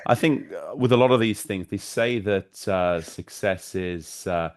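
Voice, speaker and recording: A man hosting a podcast interview, affable, with brief encouraging replies and quick run-on questions. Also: deep voice. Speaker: podcast host.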